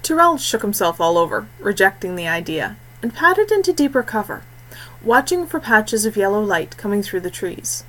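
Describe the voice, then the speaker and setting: A woman narrating a scripted fantasy story in a measured, expressive way with deliberate phrasing. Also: mid-range voice, rough recording. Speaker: audiobook narrator.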